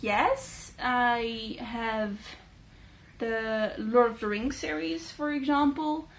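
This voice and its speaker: A young Dutch woman, a native Dutch speaker, chatting casually in a vlog with many fillers and thoughtful pauses. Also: a little high, fairly even intonation, a little hiss or hum. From Netherlands. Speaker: book channel host. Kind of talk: casual talking-head vlog.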